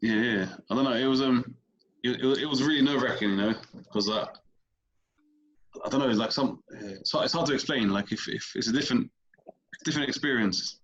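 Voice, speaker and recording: A man's voice: medium pitch, a little fast, a bit bright, breathy edge, dull audio. A man, a comedian guest on a podcast, chatting casually and rambling, repeating words as he explains. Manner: rambling, repeats words, talks in loose stories.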